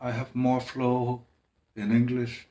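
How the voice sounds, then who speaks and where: A man speaking in a halting, hesitant way, with restarts and long pauses, in a personal monologue. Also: mid-range voice, monotone, warm tone. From Greenland. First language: Danish.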